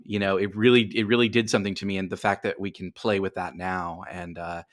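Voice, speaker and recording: A man's voice: low voice, flat delivery, fairly quick. A middle-aged man speaking casually as a guest in a podcast interview, with self-deprecating humour. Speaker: guest, writer.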